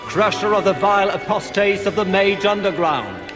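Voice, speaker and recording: High-voiced pompous male court herald, acted. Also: moderate pace, natural voice. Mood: pompous, ceremonial. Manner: grandiose, ceremonial announcing. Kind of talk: fantasy video game court proclamation. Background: music.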